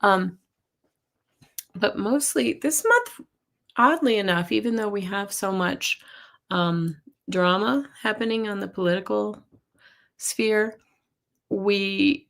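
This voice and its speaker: A middle-aged woman with a hoarse, husky voice, chatting casually as host of a live tarot and astrology stream and reacting to viewer comments. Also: mid-range voice. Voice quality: husky, gravelly voice. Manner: chatty, reacts to comments, coughs and clears throat. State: hoarse from losing her voice.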